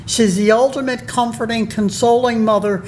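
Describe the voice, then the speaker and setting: A woman giving an academic conference talk, reading from a paper in a measured way with pauses and fillers. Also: fairly high pitch, fairly even intonation, darkish tone, a little hiss or hum. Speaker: conference presenter. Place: conference room.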